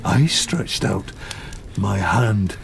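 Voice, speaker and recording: Slow, deep, gravelly brooding monster. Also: male voice, lively intonation, music in the background, full character voice. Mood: haunted, sorrowful. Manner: slow, deliberate, solemn storytelling. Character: wounded, brooding male monster, eloquent and lonely. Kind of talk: audio drama monologue.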